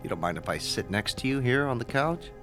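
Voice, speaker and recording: A man playing a nervous, ingratiating school guidance counselor in his twenties in an audio drama, speaking haltingly. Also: mid-range voice, big pitch swings, music in the background, close to an everyday voice. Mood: overfriendly. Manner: hesitant, ingratiating, halting with ums.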